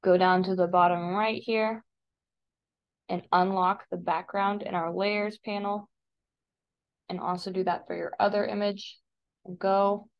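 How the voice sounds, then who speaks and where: An American woman teaching a step-by-step software tutorial in an informal, instructive way. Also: mid-range voice, little pitch movement, unhurried, pauses often, muffled recording. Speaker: digital design teacher. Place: voice-over for a screen recording.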